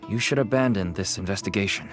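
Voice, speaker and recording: A man's voice: on the low side, fast talker, close to an everyday voice. An actor playing a worried, devoted merchant father turned smuggler in a video game, weary and earnest, with music behind him. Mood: earnest, warning. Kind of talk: acted game dialogue.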